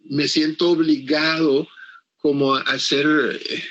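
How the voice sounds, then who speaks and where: Older man, from Costa Rica, native English speaker, author interview, husky voice, slightly high, dull lo-fi sound. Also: level tone, fairly bright tone. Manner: halting, full of pauses and restarts. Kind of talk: slow reflective interview answers.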